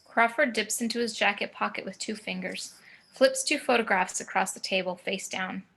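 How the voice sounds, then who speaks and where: A woman's voice: mid-range voice, moderate pace, creaky, bright, forceful tone, rough recording. A woman reading scene descriptions and action lines as the narrator of a screenplay table read.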